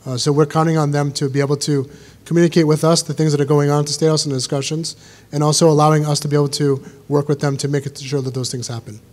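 Guy at a podium answering questions quick, brisk and reassuring. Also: fairly high pitch, little pitch movement, darkish tone. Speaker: school safety official. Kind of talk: answering questions at a town meeting. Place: school auditorium stage.

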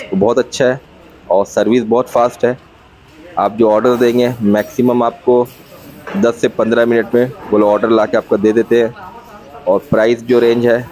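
A man's voice: medium pitch, a little mellow, low-quality recording. A man in his thirties from India, casually narrating a family travel vlog at a roadside restaurant in the evening and recorded on a phone camera. He is chatty, repeats his points, and mixes English words into Hindi.

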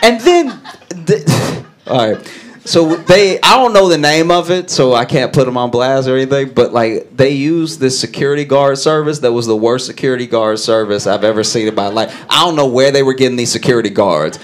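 Animated young man doing stand-up. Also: moderate pace. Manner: animated, laughing at his own story, acting out characters in low voices. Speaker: stand-up comedian. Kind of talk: stand-up storytelling. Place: brick-walled comedy club stage. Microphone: handheld stage mic.

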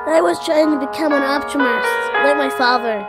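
A woman's voice: high-pitched, fairly quick, lightly acted. A woman voicing a naive, hesitant male cartoon pony sidekick in an amateur game cutscene. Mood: muddled. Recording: music behind the voice. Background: music.

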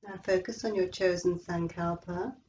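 Female meditation guide, soft-edged dark timbre, slow and soothing, slightly muffled recording. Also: lowish voice, steady tone. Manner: slow, measured, soothing delivery with long pauses. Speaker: yoga nidra instructor.

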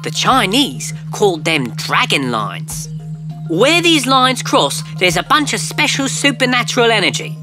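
A chatty cartoon alien. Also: female voice, fairly high pitch, music in the background. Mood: explaining. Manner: quick, excitable, talkative. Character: friendly green alien, hurried and chatty. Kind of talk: cartoon voice acting.

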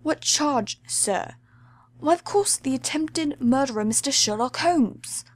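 A woman plays a deferential police detective in an audiobook, pitched high. He is polite and questioning, a little puzzled, in a close dry sound. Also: animated, slow, no room sound. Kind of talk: dramatic audiobook reading.

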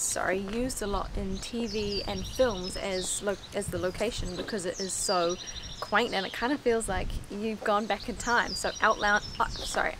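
A woman in her thirties vlogging on a trip, casually explaining local history. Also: mid-range voice. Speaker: travel vlogger. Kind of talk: casual travel vlog chat. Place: outdoors on island and village streets. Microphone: camera mic.

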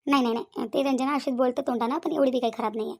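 A woman's voice: high-pitched, fairly even intonation, racing, a little mellow. A woman playing a middle-aged village matriarch in a comedy cartoon, placating and talkative, with a Varhadi Marathi accent and repetitive, reassuring persuasion.

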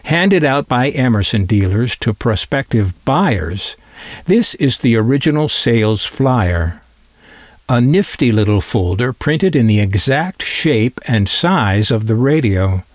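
A man's voice: mid-range voice, animated, a little slow, no echo. A man presenting a collector's item in informal scripted narration, with a wry, dryly humorous manner. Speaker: presenter of a vintage radio collecting channel.

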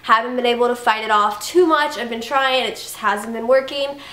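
A woman's voice: fairly high pitch, moderate pace, bright, forceful tone, reverberant. A young woman with a stuffy cold chatting casually through a beauty favorites review, gushing and filling her talk with "like". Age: young adult. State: congested from a cold. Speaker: beauty vlogger. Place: bedroom, indoors.

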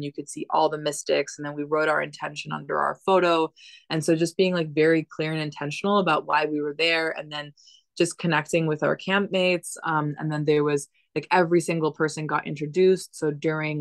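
A woman's voice: low-pitched, no room sound. A woman in her thirties tells her story in a loose, chatty way, stopping and restarting her phrases. She was raised in the Dominican Republic and speaks from her living room over a laptop webcam. The sound is dry and close. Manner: chatty, lots of "like" and restarts, animated hand gestures. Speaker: podcast guest. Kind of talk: casual storytelling conversation. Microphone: laptop webcam on video call.

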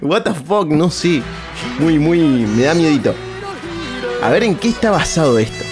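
Animated, higher-pitched Argentine man reacting. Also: a little slow. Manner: slangy, exclamatory, repeats phrases. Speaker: streamer reacting to video. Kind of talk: casual live reaction commentary. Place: indoor room at streaming desk. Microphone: close desk microphone on boom arm. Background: cartoon music.

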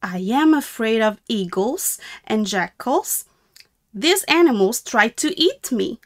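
A woman reading as a friendly little meerkat from a picture book. The voice is high and lively, with a simple, childlike sing-song and slow, careful phrasing. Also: full character voice. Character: friendly little meerkat answering questions in a storybook.

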